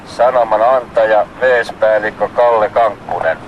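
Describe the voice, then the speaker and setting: A man's voice: on the low side, lo-fi sound, noisy background. A man working as a radio operator in an exercise, formally dictating messages in short, repeated procedural phrases.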